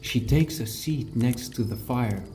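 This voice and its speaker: A man playing a calm, caring fantasy adventurer and mentor, narrating his journey in a measured, earnest first person for an audiobook. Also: mid-range voice, soft background music, close to an everyday voice. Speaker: narrator and lead character.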